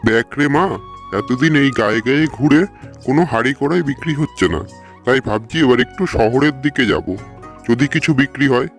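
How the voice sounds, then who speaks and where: A man acting as a kindly middle-aged village father. The voice sits at a medium male pitch and belongs to a cartoon folk tale, with soft music underneath. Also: brisk, lightly acted. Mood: gentle concern. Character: village father and wandering hungry holy man.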